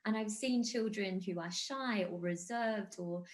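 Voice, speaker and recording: A woman's voice: medium pitch, measured pace. A woman in her thirties speaking on an online panel as a game company founder, enthusiastic and fluent with some fillers, heard over a video call.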